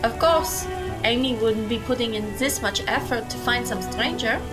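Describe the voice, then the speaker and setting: A woman's voice: on the high side, normal speed, loud music behind the voice, natural voice. A woman playing a dry, blunt young sister who teases wryly in an audio drama. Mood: wry, teasing. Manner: wry, blunt.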